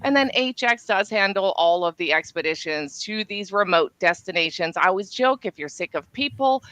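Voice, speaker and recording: A woman's voice: mid-range voice, expressive, moderate pace, a bit bright, no echo, poor audio. A woman from Norway, a cruise line sales representative, answering questions on a live webinar with a chatty, joking manner. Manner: chatty, self-deprecating jokes.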